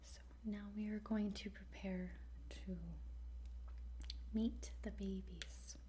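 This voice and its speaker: A woman whispering softly in a halting ASMR roleplay as a gentle, patient guide, close to the microphone. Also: mid-range voice, level tone. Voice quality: soft breathy whisper. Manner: slow, halting, with pauses. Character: gentle, patient dragon training centre guide. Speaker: ASMR roleplayer playing a dragon trainer. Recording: faint background noise.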